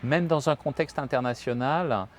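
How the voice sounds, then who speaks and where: A man's voice: medium pitch, moderate pace, a little hiss or hum. A French man speaking as a guest in a casual interview, thoughtful and hesitant, often restarting his sentences. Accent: slight French accent in English. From France. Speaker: guest, HR professional.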